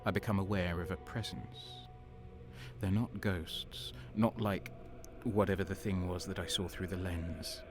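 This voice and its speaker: An actor playing a cynical, faithless deserter soldier on edge, narrating an audio drama in a brooding voice that drops into whispers. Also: male voice, low voice, fairly even intonation. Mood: uneasy. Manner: slow, brooding, breaking into whispers. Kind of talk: audio drama first-person narration and dialogue. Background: music.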